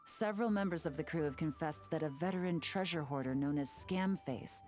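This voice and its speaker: A woman voicing a calm, shrewd agent in a game, cool and composed with a teasing edge. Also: deep voice, a little fast, soft background music, close to an everyday voice. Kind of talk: game voice acting.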